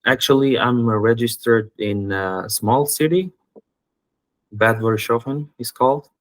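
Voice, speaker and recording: A man's voice: medium pitch, measured pace, no echo. A man from North Macedonia answering interview questions in short, hesitant replies. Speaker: guest, student seller.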